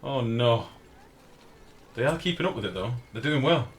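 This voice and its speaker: A man with a deep, booming voice giving casual, sweary live game commentary as a streamer and chatting with viewers. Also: lively intonation, moderate pace. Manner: informal, sweary, reads and answers chat. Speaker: game livestreamer. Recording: clear background noise.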